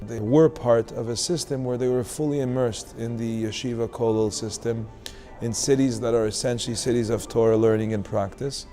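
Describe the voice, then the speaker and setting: A man's voice: mid-range voice, dark tone. A man speaking in articulate, formal phrases in a community video testimonial. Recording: music playing behind the voice.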